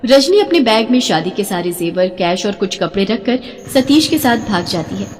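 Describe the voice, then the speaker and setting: A woman's voice: mid-range voice, animated, normal speed, a little mellow, in a large hall. A woman narrating a scripted dramatic story.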